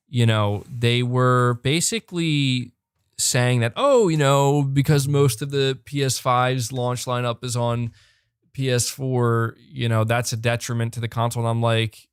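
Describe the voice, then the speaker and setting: A young man hosting a live podcast, talking fast and animated, repeating words when fired up and losing his voice. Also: medium pitch, clear, resonant tone, no room sound, studio quality. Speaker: podcast host reading super chats. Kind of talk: excited live-stream chat. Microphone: close desk mic.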